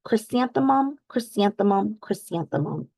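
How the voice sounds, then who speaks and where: A woman voicing a sensitive, dreamy little girl from a children's storybook, with expressive, drawn-out words. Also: medium pitch, no echo, rough recording. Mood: dreamy, adoring.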